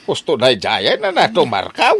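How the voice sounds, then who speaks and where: A man's voice: medium pitch, huge pitch swings, moderate pace, fairly bright tone, breathy edge, heavily acted. A man playing a grumbling, teasing, thrifty elderly father in a comedy audio drama, with an Ilocano accent.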